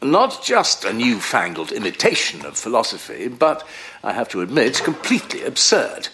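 An actor playing an older, distracted and courteous psychoanalyst professor in an audio drama, erudite and hesitant, often correcting himself. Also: male voice, medium pitch, wildly swooping pitch, normal speed, noisy background. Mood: musing, wry.

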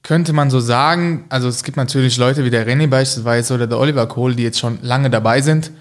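A young man talking as a podcast guest in a relaxed way, pausing often with hesitations. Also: medium pitch. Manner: relaxed, many "äh" hesitations, quick-witted. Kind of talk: casual podcast chat.

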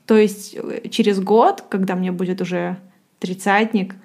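A woman's voice: mid-range voice, fairly even intonation, measured pace, darkish tone. A woman in her late twenties co-hosting a podcast, chatting casually and self-ironically, thinking aloud with fillers. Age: late 20s. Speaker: podcast co-host. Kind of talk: casual chat. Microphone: podcast microphone.

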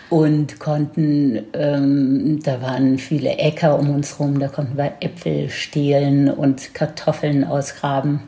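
A woman's voice: low-pitched, little pitch movement, warm tone. A woman in her seventies with a Baden accent, a grandmother unhurriedly telling her life story on a podcast. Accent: Baden regional German. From near Heidelberg, Germany. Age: 70s. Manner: reminiscing, unhurried, with laughs. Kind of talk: casual storytelling.

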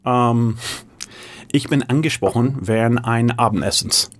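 American guy, middle-aged, halting german w/ lots of ums, regular pitch. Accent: American English. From San Francisco, USA. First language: English. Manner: halting German with frequent fillers and self-corrections. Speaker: guest, tech executive. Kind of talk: conversational interview answers.

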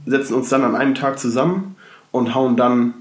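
A man co-hosting a casual podcast from his shared flat, slightly congested from a cold, rambling with many fillers and restarts. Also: mid-range voice, normal speed, reverberant, soft background music. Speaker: podcast co-host. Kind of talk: casual chat.

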